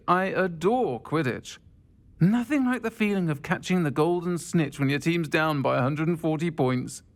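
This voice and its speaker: Creaky-voiced, kindly British witch landlady. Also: deep voice, exaggerated voice. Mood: fond, pleased. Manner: gentle, conversational, fond. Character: warm middle-aged witch pub landlady, wistful, kindly. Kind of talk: video game cutscene dialogue.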